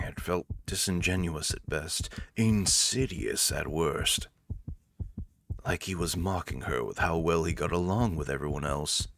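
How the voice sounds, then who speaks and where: A man's voice: deep voice, background noise. A man reading scripted narration for a visual novel. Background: faint music.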